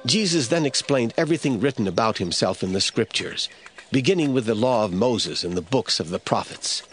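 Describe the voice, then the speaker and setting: Narrator: male, low-pitched, reading scripture from a script for audio drama, light music underneath. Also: expressive, fairly quick, a bit bright.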